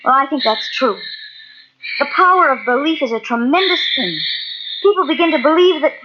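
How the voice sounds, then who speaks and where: High-voiced anxious young woman, noisy recording. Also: lively intonation, brisk. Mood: urgent, earnest. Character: anxious, earnest daughter of a scientist. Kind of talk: old-time radio drama acting. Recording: noisy, dull old cassette recording of radio.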